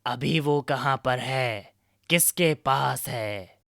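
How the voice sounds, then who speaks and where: A man's voice: medium pitch, extremely slow, creaky, fairly bright tone, a little hiss or hum, full character voice. A man playing a menacing, grandiose sorcerer hungry for power in a children's cartoon story. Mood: gloating, commanding. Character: scheming, power-hungry male sorcerer of the cave. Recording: music behind the voice.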